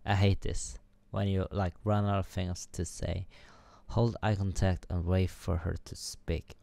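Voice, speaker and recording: A man's voice: low voice, flat delivery, unhurried, gravelly, mellow, dry recording. A man streaming a dating game from his home room on a headset mic, mumbling and joking as he reads out choices. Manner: mumbling, thinking aloud, joking. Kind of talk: let's play commentary.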